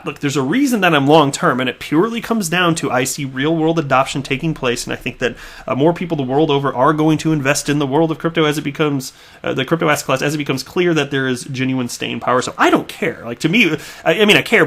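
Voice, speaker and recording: A man's voice: fairly high pitch, fast talker, fairly bright tone. Chatty Midwestern guy vlogging. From American Midwest. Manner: chatty, filler-heavy, repeats words. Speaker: crypto YouTuber. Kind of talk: casual commentary reading tweets.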